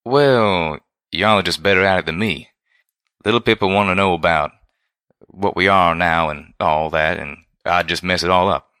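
A man's voice: low-pitched, moderate pace, sharp tone, clean tone, no echo, clean studio sound. A man voicing a blunt, bashful male pegasus pony who talks in a folksy country drawl, for a storybook reading. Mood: flustered, sheepish.

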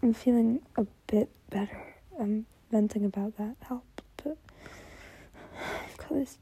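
A young woman speaking in an intimate, whispery monologue, halting and apologetic, anxious and close to tears. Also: a little high, dark tone, slight background noise, rough recording. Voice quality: breathy, whispery voice. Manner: halting, apologetic, stammering repetitions. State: anxious, tearful, close to crying.

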